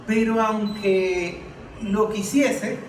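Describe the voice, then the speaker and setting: A man's voice: high voice, fairly even intonation, very slow, soft background music. A Venezuelan man speaking as a political analyst in an informal panel discussion, emphatic and argumentative, often posing rhetorical questions. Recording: roomy, distant mic with faint noise.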